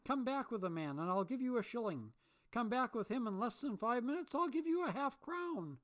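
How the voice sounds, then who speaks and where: A reader voicing a remorseful old miser who turns giddy, pleading and exclaiming at a lifted pitch. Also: sing-song, normal speed, a bit bright. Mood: jolly. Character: old miser, repentant and overjoyed.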